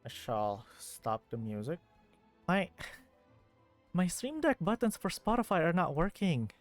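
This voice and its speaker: A man's voice: a little high, big pitch swings, unhurried, faint music behind. A man livestreaming a video game with casual, wry, grumbling commentary.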